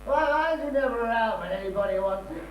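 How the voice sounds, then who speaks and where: A man's voice: sits high, lively intonation, quick pace, highs cut off. A man with a British accent acting a rambling, self-pitying drunken man in an amateur stage comedy. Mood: maudlin, confiding. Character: maudlin, rambling drunken man abandoned by his partner. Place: small theatre stage. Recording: echoey, distant stage recording with hum.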